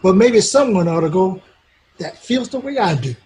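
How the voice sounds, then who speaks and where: Man acting meek devout frontier man, high voice, deferential, polite, old radio western. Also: lively intonation, moderate pace, a little mellow. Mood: humble, earnest. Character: humble, deferential, devout townsman.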